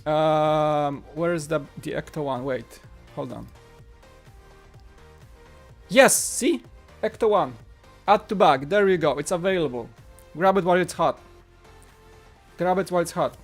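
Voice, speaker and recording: A man in his thirties whose first language is Polish chats casually as a livestream host. His voice sits a little high for a man, and he rambles, circling back to repeat short phrases. Music plays behind him. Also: lively intonation, a little slow, pauses often. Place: small home studio room. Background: background music.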